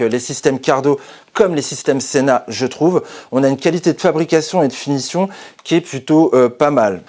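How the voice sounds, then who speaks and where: A man's voice: medium pitch, darkish tone. A man presenting a casual product review as the host of a channel.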